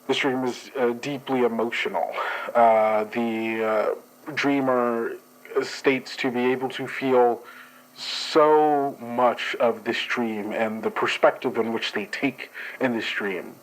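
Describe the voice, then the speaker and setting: A man's voice: mid-range voice, steady tone, takes it slowly, close to an everyday voice, low-quality recording. A man playing a formal, guarded dream investigator who hesitates and speaks in stiff bureaucratic phrasing. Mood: measured. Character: formal, guarded male agent analysing dreams. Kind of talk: actual play, in-character report.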